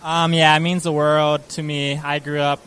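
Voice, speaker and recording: A man from Hawaii, a surfer, answering a beach interview after his heat, relaxed and halting. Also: on the high side, a little hiss or hum. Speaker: competing surfer.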